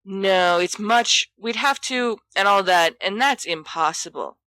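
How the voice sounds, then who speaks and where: A woman's voice: medium pitch, animated, unhurried, bright, forceful tone, no echo, low-quality recording. A woman voicing an imperious, flippant teenage schoolboy with a commanding, teasing manner in an audiobook story. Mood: musing.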